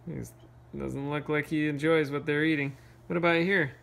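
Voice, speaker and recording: A man reading a picture book aloud to children, playfully, pausing for casual questions and asides. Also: on the high side, fairly even intonation, gravelly, a bit bright, a little hiss or hum. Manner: playful, pauses to ask questions. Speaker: read-aloud storyteller.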